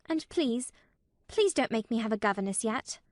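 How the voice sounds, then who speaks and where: A woman plays an earnest little girl from a storybook. The voice is high and swoops about, timid and a little stiff, with a tremulous, pleading edge. The sound is dry. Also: animated, moderate pace, no echo, lightly acted. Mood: stiff, nervous.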